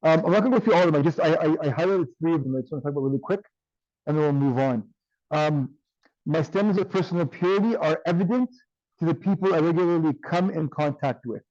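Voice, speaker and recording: Guy teaching online class, voice worn out, keeps saying right?, higher-ish mellow voice. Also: fast, no room sound, dull audio. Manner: checks in with "right?" often, many ums. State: tired, strained voice. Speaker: instructor.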